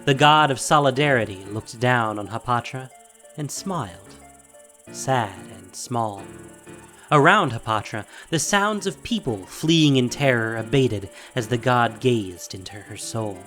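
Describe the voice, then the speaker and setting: A man with a mid-pitched voice narrates dramatic fantasy as a scripted story. Faint music and insect sounds sit behind him. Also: lively intonation, measured pace, fairly bright tone.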